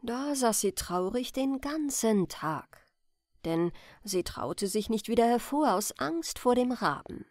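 A woman with a slightly high voice narrates a bedtime story for an audiobook. Her delivery is expressive, with plenty of melodic movement. The recording is close and dry. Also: takes it slowly, dry recording. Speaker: audiobook reader. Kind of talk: scripted bedtime fairy-tale narration.

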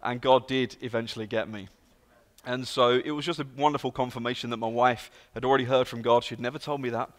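British guest preacher, 30s, London accent, earnest storyteller, echoing church hall. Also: male voice, medium pitch, fast. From England. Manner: earnest, storytelling, lively emphasis. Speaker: guest speaker. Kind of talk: sermon. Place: large church sanctuary. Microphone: handheld and stage mic. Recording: long hall reverb.

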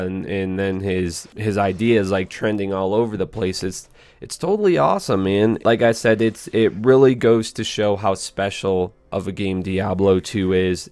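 Low-voiced, slightly hoarse young man. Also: a little mellow. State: hoarse, voice strained after a concert. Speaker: YouTube gaming channel host. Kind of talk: casual commentary to viewers. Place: small indoor room at home.

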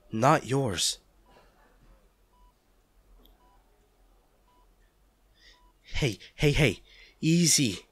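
A man's voice: fairly high pitch, fairly bright tone, dry recording. A man playing a devoted, protective partner in an intimate audio drama, tender and reassuring as he comforts an injured loved one. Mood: soothing, concerned. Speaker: audio roleplay performer.

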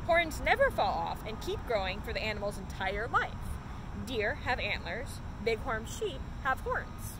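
A young adult woman reading a children's picture book aloud in an expressive, animated way, outdoors. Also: fairly high pitch, unhurried. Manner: animated, acts out dialogue. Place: outdoors under a tree in a park. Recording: outdoor noise of distant traffic.

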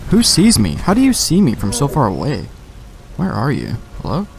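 A man's voice: medium pitch, wildly swooping pitch, moderate pace, gravelly. A young man giving offhand, slangy commentary as he plays a video game, talking to himself. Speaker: gamer, let's play host.

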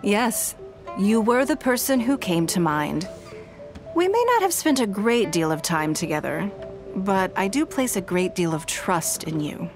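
An actress playing a poised, wealthy noblewoman in a game, speaking in a measured, formal and gracious way. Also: mid-range voice, sing-song, over music. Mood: composed. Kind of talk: game voice acting.

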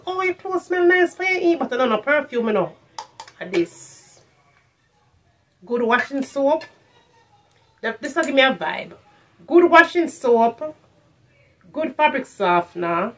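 A middle-aged woman with a Jamaican accent reviewing products in a casual vlog, slipping into patois and repeating phrases for emphasis. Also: high-pitched. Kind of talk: casual chat to camera, shopping haul review. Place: furnished room at home, indoors.

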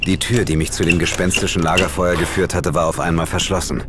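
Actor as very deep-voiced male investigator narrator. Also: steady tone, fast talker, natural voice. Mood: composed suspense. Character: male investigator recounting his eerie case, tense and composed. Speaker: narrator and lead character of a horror audio drama. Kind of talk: scripted first-person horror narration. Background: music.

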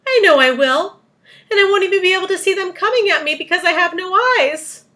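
A woman's voice: very high voice, fairly quick, bright, forceful tone, voice pushed up. A woman voicing a gloomy, panicky male earthworm in a storybook, wailing and protesting indignantly. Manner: wailing, protesting, stammering in alarm.

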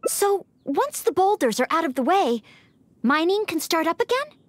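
A woman's voice: very high-pitched, sing-song, moderate pace, no room sound. A woman playing an earnest, eager and polite young maid training as a knight in a video game, with a sweet girlish voice. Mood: polite concern.

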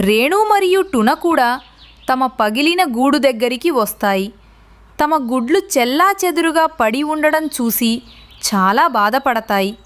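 A woman narrating a scripted children's moral story as the storyteller. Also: sits high.

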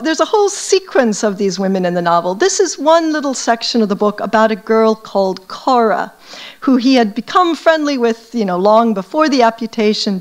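A woman from the United States, an academic, reading aloud from a novel to an audience in a measured, deliberate way. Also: on the high side.

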